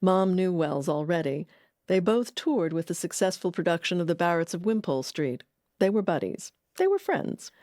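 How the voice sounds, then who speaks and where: A woman with a fairly low voice narrates a documentary from a script. Her delivery is wry, with personal asides, and the sound is dry and close. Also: darkish tone, dry recording.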